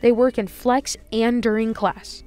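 A teenage girl with a fairly high voice reads a school news report. Her delivery is upbeat and clearly paced. Faint music plays under her. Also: steady tone, unhurried. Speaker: student reporter. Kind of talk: scripted news voice-over.

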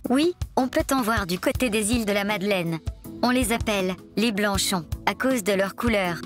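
A woman voicing a calm, helpful talking lamp-speaker gadget who explains facts in an even, informative way. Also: medium pitch, moderate pace, close to an everyday voice. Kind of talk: children's puppet show. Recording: music behind the voice.

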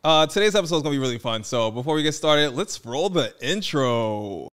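A man in his twenties co-hosting a podcast, chatting playfully and teasing, recorded on a boom mic in a studio. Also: expressive, a little fast. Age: 20s. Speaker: podcast co-host. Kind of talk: casual chat. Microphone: boom mic on stand.

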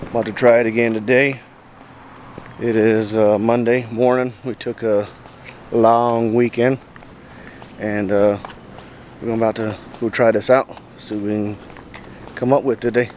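A man's voice: on the low side, level tone, measured pace, pauses often, darkish tone. Laid-back man on a fishing boat. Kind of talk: casual vlog talk. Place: outdoors on a boat and at a marina. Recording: noisy outdoor camera audio with boat sounds.

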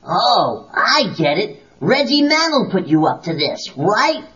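A wisecracking teenage boy hero for a comic-book cassette. His voice is very high and slow, with big swings in pitch. He drawls in an exaggerated, easygoing way. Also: big pitch swings, bright, forceful tone, heavily acted. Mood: wry. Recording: dull cassette, music behind.

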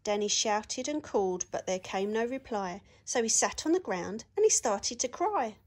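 A woman reading a children's picture book aloud with expressive, sing-song rhyming delivery. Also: a little high, normal speed.

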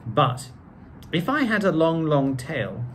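A man's voice: on the high side, very animated, slow, fairly bright tone, a little hiss or hum. A middle-aged man with a British accent reading a children's story aloud in a theatrical, genteel manner. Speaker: co-host of children's read-aloud channel. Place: living room with piano, indoors.

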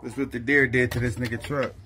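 A man's voice: mid-range voice, little pitch movement, quick pace, music in the background. A man in slangy, profane casual chat, hosting and prompting a storyteller. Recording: loud background noise.

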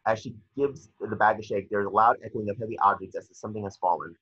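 A man's voice: on the low side, fairly even intonation, quick pace, a bit bright, husky, no echo. A young man narrating scripted stage directions from a screenplay over a video call. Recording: dull, muffled video call audio.

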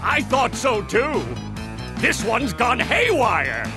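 A man's voice: extremely high pitch, huge pitch swings, slow pace, over music, full character voice. A man voicing a tiny, scheming, boastful plankton villain in a cartoon video game. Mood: alarmed.

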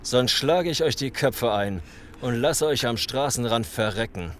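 A man's voice: medium pitch, animated, measured pace, a bit bright. An actor playing a menacing, calculating male gang boss in a German radio play, commanding and mock-polite. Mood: commanding, threatening.

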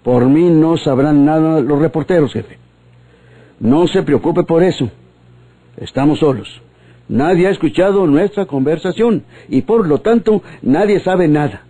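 A crafty Mexican police underling in an old radionovela. His voice is high for a man and husky, with an obsequious, officious manner. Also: a little slow, warm tone. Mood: reassuring. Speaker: actor in a radio serial.

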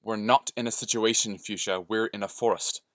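A man's voice: fairly low pitch, fairly even intonation, normal speed, fairly bright tone, no room sound, clean studio sound, lightly acted. A man voicing a prickly, defiant, self-righteous woman in a story, curt and argumentative. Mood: irritated.

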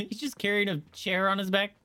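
A man's voice: high-pitched, fairly even intonation, a little fast, pushed and bright. A man giving casual, playful, reactive commentary as he streams a video game.

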